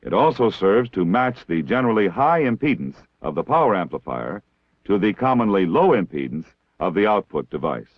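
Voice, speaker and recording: An American man reading scripted instructional narration in a measured, formal, didactic way, like a 1960s military training film. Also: sits low, sing-song, no echo. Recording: dull, lo-fi 1960s film soundtrack.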